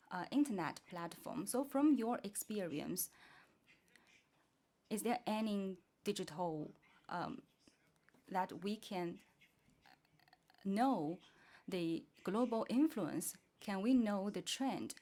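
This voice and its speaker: Slow, halting woman moderating a panel. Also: mid-range voice, mellow. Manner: halting, searching for words. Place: conference stage.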